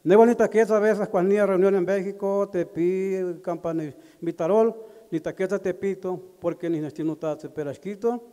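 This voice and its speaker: Middle-aged guy, higher voice but dark and mellow, halting with lots of pauses, echoey hall. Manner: halting, with pauses and fillers, switching between languages. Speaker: community member at a consultation. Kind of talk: public address. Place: large indoor community hall. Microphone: handheld microphone.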